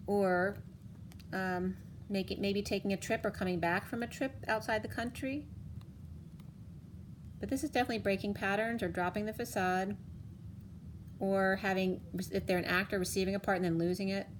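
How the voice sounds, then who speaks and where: A woman reading tarot cards for viewers in a casual, rambling way, her voice going hoarse. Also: medium pitch, fairly even intonation, a little hiss or hum. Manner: rambling, halting restarts. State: losing her voice. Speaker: tarot reader. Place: home room, table of cards. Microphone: camera on the cards, speaker off screen.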